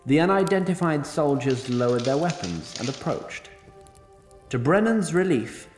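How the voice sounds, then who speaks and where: Expressive male fantasy narrator, echoey. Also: mid-range voice. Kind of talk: scripted fantasy audio drama narration. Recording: echoey, music behind.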